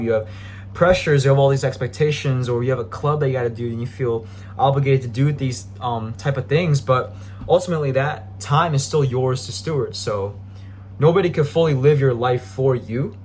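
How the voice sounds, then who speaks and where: A man in his early twenties giving a casual talking-to-camera reflection, rambling with many fillers and restarts and laughing at his own slips. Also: mid-range voice. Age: 20s. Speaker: vlogger.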